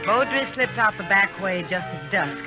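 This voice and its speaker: A woman's voice: mid-range voice, lively intonation, moderate pace, close to an everyday voice. An actress playing a direct, caring, worried saloon woman in a 1950s radio western. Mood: concerned. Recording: muffled old radio recording with music.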